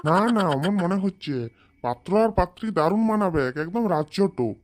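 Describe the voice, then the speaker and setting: A man voicing an eager, lovestruck village suitor in comedy cartoon dialogue, colloquial and agreeable, with a pitch-shifted voice. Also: expressive, fairly quick.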